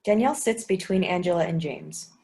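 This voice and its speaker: A woman reading the stage directions of a play in a plain, even way. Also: fairly low pitch, fairly quick.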